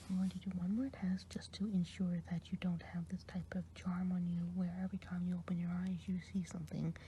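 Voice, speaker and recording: Low, mellow whispers from a good forest witch who chats and gossips gently while she tends to you, as a woman acts her out. The voice sits a little deep for a woman and sounds soft and warm. She rambles in a kindly, homely way, like an ASMR roleplay healer. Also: slight background noise, natural voice. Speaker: ASMR artist.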